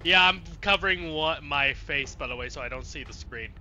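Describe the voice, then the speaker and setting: A man's voice: fairly high pitch, moderate pace, bright, forceful tone, lo-fi sound. A man giving casual, reactive commentary as he streams a video game, often exclaiming. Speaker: let's play streamer. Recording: faint background noise.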